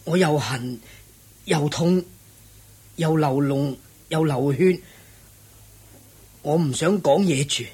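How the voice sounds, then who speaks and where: An actor playing a weary, suffering but proud ascetic in a radio drama, his voice hoarse. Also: on the high side, takes it slowly, long pauses, darkish tone, heavily acted. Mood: pained, proud. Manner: weary, groaning endurance. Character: suffering, proud male ascetic covered in sores. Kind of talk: Cantonese radio drama acting.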